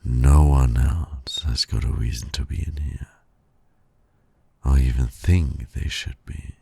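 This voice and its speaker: Guy doing a suave villain flirting with his nemesis, super low gravelly voice, teasing asmr roleplay, intimate and possessive. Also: steady tone, dry recording, full character voice. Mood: coaxing, persuasive. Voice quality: very deep, gravelly, husky. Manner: slow, breathy, suave teasing with soft chuckles.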